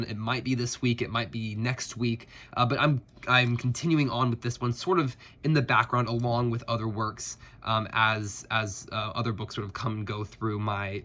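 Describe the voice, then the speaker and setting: A man's voice: medium pitch, pushed and bright. A man with a stuffed-up voice from a head cold, chatting casually about his reading with many fillers and restarts. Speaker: booktuber.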